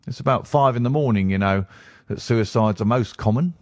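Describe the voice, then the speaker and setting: A man's voice: mid-range voice, no room sound, clean studio sound, close to an everyday voice. A man voicing a hearty, self-assured police inspector in a storybook reading. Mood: confident. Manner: brisk, loud, matter-of-fact.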